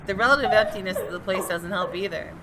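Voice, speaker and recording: A woman's voice: medium pitch, fast talker, a loud crowd. A woman gaming host reads a visual novel aloud with suspenseful expression and slips into casual commentary. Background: game music.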